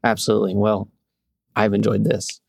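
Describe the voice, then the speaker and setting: A man's voice: lowish voice, flat delivery, moderate pace, gravelly, a little mellow, husky, no room sound, studio quality. A man hosting a podcast, asking questions in a casual interview style. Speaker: podcast host.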